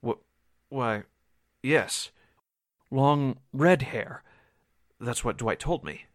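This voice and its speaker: An actor playing a hesitant, nervous witness in a 1940s-style radio drama, halting and hedging as he confides. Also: mid-range voice, animated, no echo, studio quality. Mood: confiding.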